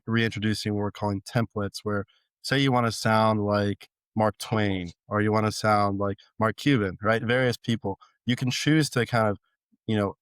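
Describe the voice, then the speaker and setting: A man, a startup co-founder, calmly explaining product features on a podcast with some fillers. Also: lowish voice, dry recording. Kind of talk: casual podcast interview.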